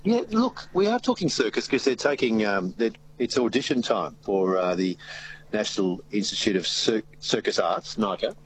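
A man's voice: fairly low pitch, expressive, normal speed, slight background noise, rough recording. A man, an easygoing radio host chatting about his upcoming show. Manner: upbeat, easygoing, with fillers. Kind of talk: casual radio chat, promoting his show.

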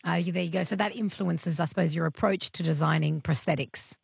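A woman's voice: fairly low pitch, fairly quick, vocal fry, husky, no room sound, clean studio sound. A woman hosting an interview, asking curious, rambling questions. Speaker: interview host. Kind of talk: interviewing a guest.

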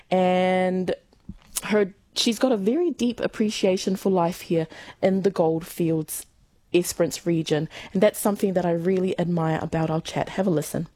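A woman's voice: medium pitch, darkish tone. A woman radio host presenting a breakfast show and interviewing a guest in a friendly, conversational way.

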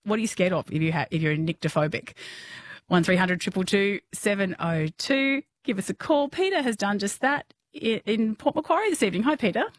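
A woman's voice: mid-range voice, fairly quick. A woman hosting a phone-in radio quiz, chatty and encouraging, often laughing. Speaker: radio host.

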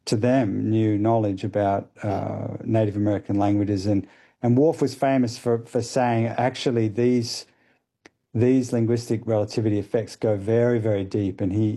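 Guy on a radio show explaining stuff, low warm voice, lots of ums. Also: creaky. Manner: thoughtful, hesitant with many fillers. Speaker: guest expert.

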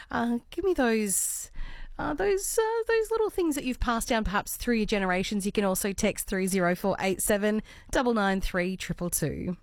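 A hoarse woman with an Australian accent, hosting morning talkback radio in a chatty, friendly way. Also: fairly high pitch, no room sound, clean studio sound. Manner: chatty, friendly, with some ums and uhs. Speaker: radio host. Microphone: studio microphone.

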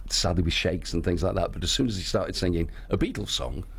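Husky deep-voiced man, chatty. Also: brisk. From Australia. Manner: chatty, laughs easily, acts out quoted voices. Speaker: town crier guest. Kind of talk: casual interview chat.

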